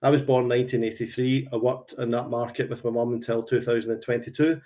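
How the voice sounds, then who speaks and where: A man's voice: medium pitch, steady tone, fast, mellow. A man of around forty with a Glaswegian Scottish accent, presenting a business webinar on a call in a conversational, anecdotal way. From Glasgow, Scotland. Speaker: webinar presenter, sales consultant.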